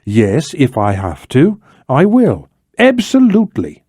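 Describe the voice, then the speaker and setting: A man's voice: mid-range voice, huge pitch swings, slow, darkish tone, husky, no room sound, studio quality, pitched above the performer's own voice, full character voice. A man voicing a determined female operative who holds firm under pressure in a thriller audiobook. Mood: firm, threatening.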